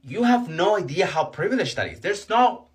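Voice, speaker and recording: A man's voice: high-pitched, very animated, normal speed. A man from Colombia giving indignant, emphatic commentary in a reaction video. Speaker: online commentator.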